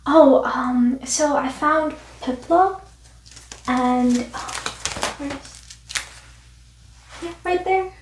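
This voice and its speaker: A young woman playing an excitable, bashful card-collecting traveler in a casual ASMR roleplay, speaking hesitantly and girlishly. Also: sits high, extremely slow, pauses often. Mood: eager, bashful. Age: young adult. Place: small indoor room set. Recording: roomy, distant, noisy with clicks.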